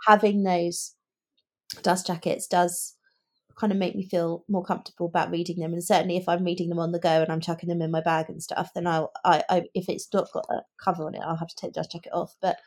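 A woman's voice: on the low side, flat delivery, fast talker. Chatty British woman co-hosting a podcast. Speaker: podcast co-host. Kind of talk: casual book discussion.

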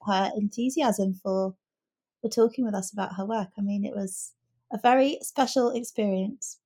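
A woman's voice: mid-range voice, normal speed, dark tone, husky. Woman, podcast co-host, articulate, considered, casual bookish conversation. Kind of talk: casual book chat.

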